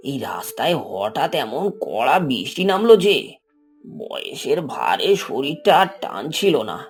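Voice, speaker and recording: An actor playing a humble old shopkeeper in a cartoon, pleading meekly and apologetically in a folksy way. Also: male voice, medium pitch, sing-song, a little slow, breathy edge, soft background music, heavily acted. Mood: apologetic pleading. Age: older. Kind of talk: cartoon voice acting.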